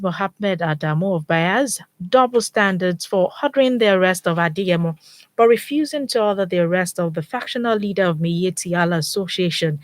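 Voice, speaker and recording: A woman reading the news and then questioning a guest on radio in a studio, in a steady formal way with the odd filler. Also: medium pitch, no room sound. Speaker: news presenter. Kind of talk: radio newsreading and studio interview.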